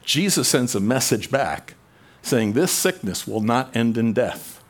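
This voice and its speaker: A man preaching a sermon in a conversational way, rising in pitch and volume for emphasis. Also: expressive, measured pace. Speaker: pastor.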